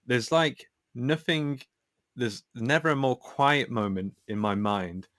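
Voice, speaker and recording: A man speaking as a podcast guest in a casual conversation, reflective and candid, often halting as he works out his thoughts. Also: mid-range voice, fairly bright tone, no room sound, studio quality.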